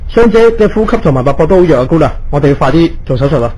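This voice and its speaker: An actor playing a tired, easily rattled emergency doctor in a ghost-story radio drama. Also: male voice, a little high, animated, very fast, mellow, a little hiss or hum, close to an everyday voice, low-quality recording. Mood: urgent. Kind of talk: Cantonese radio ghost drama, narration and dialogue.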